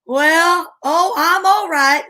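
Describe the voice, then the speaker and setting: A helpful country boy in a radio play, voiced by a woman. The voice is high and bright, with a folksy aw-shucks manner. He comes across as earnest and agreeable. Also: slow pace, heavily acted. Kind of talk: old-time radio drama acting.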